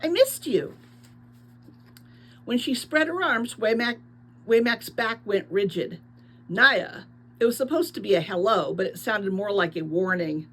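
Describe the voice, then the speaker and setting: A woman's voice: medium pitch, normal speed. A woman reading a book aloud expressively as a teacher, adding short explanatory asides.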